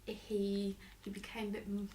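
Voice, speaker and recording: A woman's voice: mid-range voice, completely flat delivery, measured pace, mellow. A Polish woman, a parent being interviewed, answering casually with many hesitations and restarts. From Poland. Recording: echoey room, mic at a distance, faint noise.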